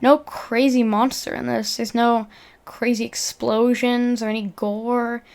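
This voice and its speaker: A woman's voice: fairly high pitch, flat delivery, unhurried, darkish tone. A young woman narrating a video essay in an informal, earnest way, reading from a script and stumbling over words now and then.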